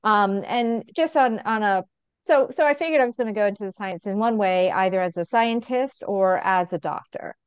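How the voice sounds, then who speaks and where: Earnest woman with a smooth, clear voice. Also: medium pitch, moderate pace, no echo, muffled recording. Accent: American. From England. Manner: earnest, laughs easily, many fillers. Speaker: guest, physician. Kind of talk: conversational interview answer.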